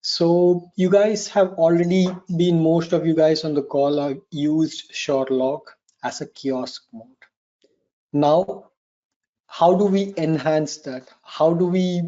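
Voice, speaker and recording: Male guest presenter, fairly high but soft-edged voice, halting delivery, lo-fi call audio. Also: fairly even intonation. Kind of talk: informal technical presentation. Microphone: online meeting call. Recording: dull lo-fi online call.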